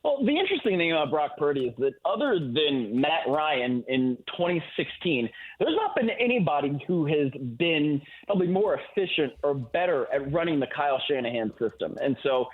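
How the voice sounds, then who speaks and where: A man's voice: a little high, very animated, normal speed, hardly any pauses. A man giving football analysis as a guest in a sports radio interview, heard over a remote call. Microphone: phone or remote call. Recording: thin, phone-like line.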